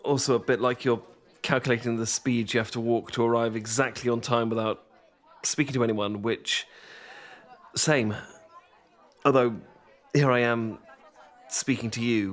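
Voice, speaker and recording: A man's voice: medium pitch, steady tone, moderate pace, a bit bright, some chatter behind, close to an everyday voice. A man performs a young British student with a mid-range voice, secretly sweet on his best mate. He speaks in a hesitant, stumbling way, full of nervous self-deprecation. It plays as a casual, intimate ASMR audio roleplay. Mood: awkward, wry.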